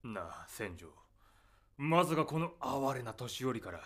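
A man's voice: mid-range voice, very animated, measured pace, a bit bright, exaggerated voice. A man playing a stubborn, crafty old spaceship engineer in an audio drama, arguing theatrically. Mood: wily, wheedling. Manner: wheedling, argumentative, theatrical.

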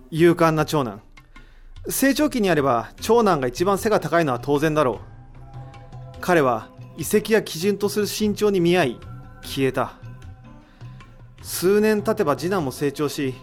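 A man's voice: fairly high pitch, close to an everyday voice. A man acting in an audio drama as an analytical figure who reasons out a mystery in explanatory speech. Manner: explanatory, rhetorical questioning. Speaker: voice actor in an amateur audio drama. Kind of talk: dramatic dialogue, explaining deductions.

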